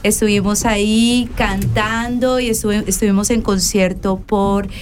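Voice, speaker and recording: A woman's voice: medium pitch, fairly even intonation, unhurried, slight background noise. A woman hosting an interview, encouraging the guests with friendly questions. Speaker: host.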